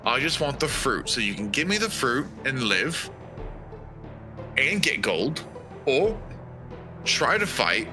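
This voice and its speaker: A man playing a tabletop roleplaying game, narrating his character's moves and lines in a halting, think-aloud way. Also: mid-range voice, very animated. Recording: music playing behind. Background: background music.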